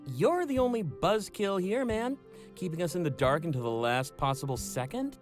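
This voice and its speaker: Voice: mid-range voice, animated, moderate pace, faint music behind, natural voice. An actor voicing a brash, blunt, sarcastic young friend in a visual novel. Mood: annoyed.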